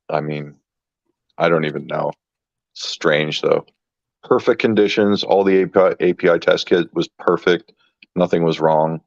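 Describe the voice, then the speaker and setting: A man's voice: rumbling low, gravelly, dry recording. A man in his fifties chatting casually about keeping fish, often stopping and repeating words as he searches for what to say. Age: 50s. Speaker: fish keeper. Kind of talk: casual chat. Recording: dull lo-fi sound.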